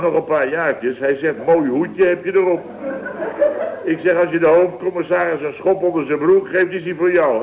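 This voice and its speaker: A man's voice: on the high side, natural voice. An actor playing a grumbling, put-upon older man who rambles through his anecdotes indignantly in a comic radio play, acting out what others said. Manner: indignant, rambling, acts out reported dialogue. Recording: narrow-band old radio recording.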